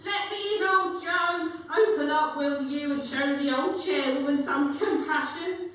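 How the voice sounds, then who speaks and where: A woman's voice: high-pitched, measured pace, a bit bright. A young woman playing a brazen, scornful old charwoman in a theatrical live reading. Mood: pleading, impatient. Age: young adult. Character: brazen, unrepentant old charwoman selling stolen goods. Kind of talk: theatrical dramatic reading of Dickens. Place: live performance in echoey castle museum room. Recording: very echoey museum room, distant, faint noise.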